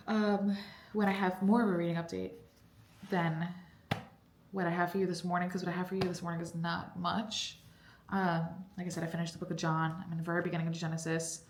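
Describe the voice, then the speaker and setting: A woman's voice: lowish voice, echoey room. A young woman chatting casually in a vlog about her reading, rambling with lots of fillers and sounding congested. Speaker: book vlogger. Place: cold basement room at home.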